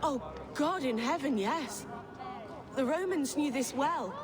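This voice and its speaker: An actress playing a knowledgeable clanswoman in a game cutscene, explaining softly with sorrow. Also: sits high, expressive, takes it slowly, crowd noise. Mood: sorrowful. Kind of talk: video game cutscene acting.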